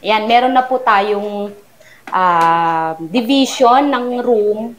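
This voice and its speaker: A woman's voice: medium pitch, expressive, very slow, slight background noise. Middle-aged woman touring her unfinished house. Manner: polite and courteous, guiding the viewer room by room. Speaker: homeowner giving a house tour. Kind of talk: polite walkthrough narration. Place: unfinished house interior under renovation.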